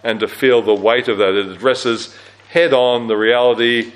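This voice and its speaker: A man with a low-register voice teaching a Bible study at a church camp, speaking conversationally with fillers and self-corrections. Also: steady tone, moderate pace, rough recording.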